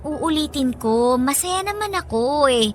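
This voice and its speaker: A woman's voice: sits high, animated, measured pace, faint music behind. A woman playing an anxious young wife and mother in a radio drama, speaking in a hesitant, plaintive way.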